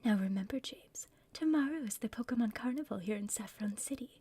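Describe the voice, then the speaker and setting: A woman's voice: on the high side, animated, fairly quick, background noise, natural voice. A woman playing a bossy, scheming female villain in a whispered ASMR roleplay, impatient and conspiratorial with her partner. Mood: explaining, conspiratorial. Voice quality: breathy whisper.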